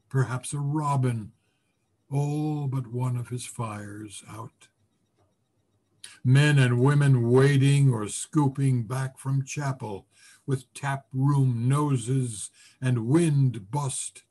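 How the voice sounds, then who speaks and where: Husky, slow-paced male storyteller. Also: mid-range voice. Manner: expressive, savoring words with deliberate pauses. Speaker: voice actor, story reader. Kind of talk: dramatic literary story reading. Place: remote video call presentation.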